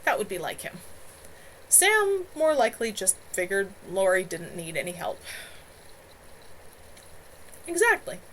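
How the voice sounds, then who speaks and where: A woman's voice: mid-range voice, big pitch swings, normal speed, slight background noise. A woman reading her own novel aloud live from home, in a plain, unpolished reading manner. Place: home room.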